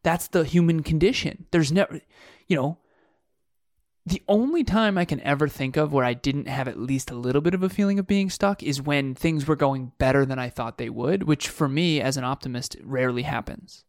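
A man's voice: a little high, normal speed, no echo, studio quality. A man co-hosting a podcast, musing aloud in casual chat with frequent restarts. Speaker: podcast co-host.

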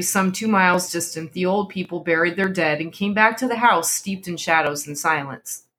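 A woman's voice: lowish voice, fairly bright tone. A woman narrating a literary horror story as a dramatic reading.

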